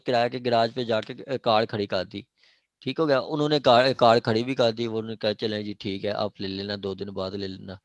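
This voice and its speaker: Male law lecturer, Pakistani accent, medium pitch, explanatory with regular check-ins, dry close sound. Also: clear, resonant tone, no room sound. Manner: explanatory, with frequent check-in tags and examples. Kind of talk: online law lecture, mixing Urdu with English legal terms.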